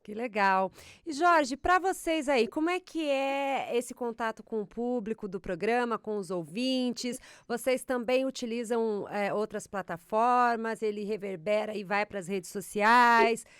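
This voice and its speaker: A Brazilian woman hosts the show and draws out her guests with friendly questions. Her voice is smooth and resonant and sits a little high. The sound is close and dry. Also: unhurried, no room sound. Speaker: podcast host. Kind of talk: interview questions.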